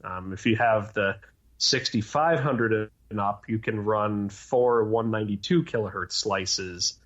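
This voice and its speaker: A man's voice: on the low side, unhurried, fairly bright tone. A man being interviewed as a guest, explaining things in technical detail. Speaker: interview guest, contest organizer. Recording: dull, lo-fi band-limited audio.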